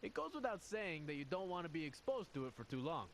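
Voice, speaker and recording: An earnest military officer records a game audio log in a fairly high voice with very lively intonation. He sounds troubled and confiding, speaking deliberately yet conversationally. There is clear noise behind the voice.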